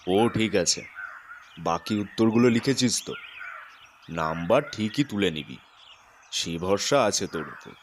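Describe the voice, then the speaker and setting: A man's voice: on the low side, lively intonation, unhurried, long pauses, slight background noise. A man playing a stern but caring middle-aged father in an audio story drama. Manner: scolding, admonishing.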